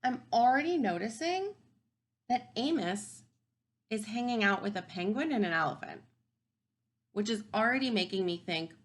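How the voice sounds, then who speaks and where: A woman teaching kindergarten through a read-aloud, thinking aloud and posing simple questions to children in an expressive, emphatic way. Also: medium pitch, moderate pace, pauses often. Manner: expressive, emphatic storybook delivery.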